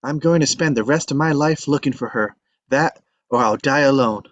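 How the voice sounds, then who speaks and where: A man's voice: mid-range voice, moderate pace, dull audio, close to an everyday voice. A man reading the part of an earnest, determined young man in love, pleading his case. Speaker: table read actor. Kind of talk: live table read acting.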